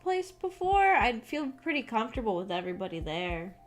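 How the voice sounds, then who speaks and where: High-voiced woman rambling on a livestream. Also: sing-song, a little fast. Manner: rambling, hesitant storytelling with frequent "like". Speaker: streamer. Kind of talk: casual livestream chat, reading and answering viewer comments. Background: background music.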